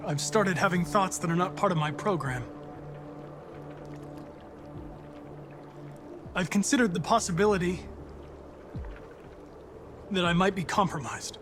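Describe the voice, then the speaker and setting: Casting: earnest, troubled android detective, higher male voice, precise delivery, cinematic game with music behind. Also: normal speed, long pauses, fairly bright tone. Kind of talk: video game drama acting.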